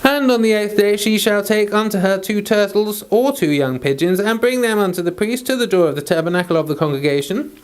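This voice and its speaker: A man's voice: sits high, lively intonation, brisk, few pauses, clear, resonant tone. A man who hosts and reads aloud, moving between casual chat and scripture. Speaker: host and scripture reader.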